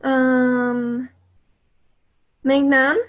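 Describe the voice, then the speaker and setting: A woman's voice: high voice, level tone, very slow. A woman hosting a video call, calling on participants one by one in a breezy way. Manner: breezy, prompting speakers in turn. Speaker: call host.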